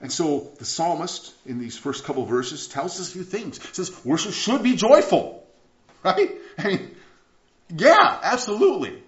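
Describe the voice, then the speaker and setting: A male pastor delivers a loose, conversational sermon. His voice rises and falls in a lively way and he often stumbles and starts over. The recording is a bit dull and carries the roomy echo of a church. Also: high-pitched, unhurried. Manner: animated, chatty, with stumbles and restarts. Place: church sanctuary. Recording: echoey church room, slightly dull sound.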